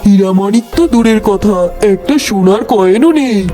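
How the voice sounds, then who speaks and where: A woman's voice: medium pitch, moderate pace, dark tone, soft background music, close to an everyday voice. A woman voicing a greedy, callous, scheming animal villain in a fairy-tale cartoon. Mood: disappointed. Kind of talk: cartoon story dialogue.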